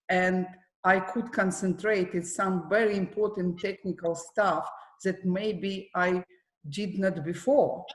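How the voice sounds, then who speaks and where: Slow-spoken Ukrainian woman in a roomy space. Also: medium pitch. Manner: slow, careful phrasing with non-native grammar. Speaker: para athletics coach. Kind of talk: casual panel Q&A. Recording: roomy echo.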